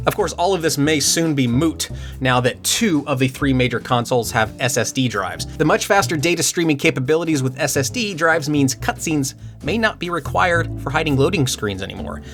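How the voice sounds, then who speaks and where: A man in a mid-pitched voice talking through a scripted video essay about video game design. His delivery is dry and wry, and it jumps up into excited asides. Faint music plays underneath. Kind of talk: scripted commentary with jokes.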